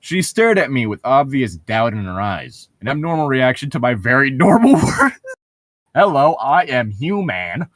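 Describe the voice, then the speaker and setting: A man's voice: mid-range voice, big pitch swings, moderate pace, a bit bright, no room sound. A man co-hosting a casual read-aloud, throwing in sarcastic asides and laughing often. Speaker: co-host of a humorous read-aloud commentary.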